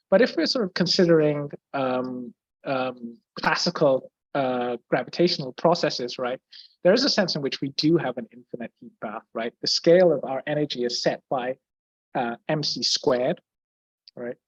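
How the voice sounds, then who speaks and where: A man's voice: on the high side, no echo, highs cut off. Hesitant man with an English accent. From Brazil. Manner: hesitant, many fillers and restarts, clears throat often. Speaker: guest physicist. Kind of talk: explaining physics in a Q&A.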